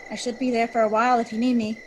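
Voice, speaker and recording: A woman's voice: a little high, flat delivery, rapid-fire, a little hiss or hum, close to an everyday voice. A woman playing a bold, impatient young woman in a dramatic reading, with a blunt, dry, sardonic delivery. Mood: bossy. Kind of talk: dramatic reading, casual dialogue.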